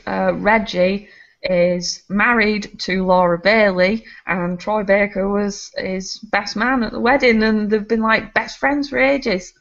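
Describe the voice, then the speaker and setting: A woman co-hosting a casual gaming podcast, chatty and quick to laugh. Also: mid-range voice, fairly bright tone. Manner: chatty, laughs often, restarts sentences. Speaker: podcast co-host. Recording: slightly muffled home recording.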